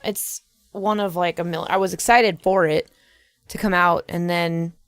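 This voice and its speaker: A woman's voice: lowish voice, fairly even intonation, measured pace, smooth, no echo. A woman co-hosting a podcast, chatting casually in a blunt, slangy and sweary manner. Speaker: podcast co-host. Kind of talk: casual chat.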